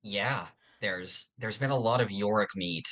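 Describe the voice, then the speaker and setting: A podcast co-host with a very low-pitched voice that still sounds bright and crisp-edged. The delivery is informal and chatty, like fans talking over a favorite series. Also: unhurried. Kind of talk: casual fan discussion podcast.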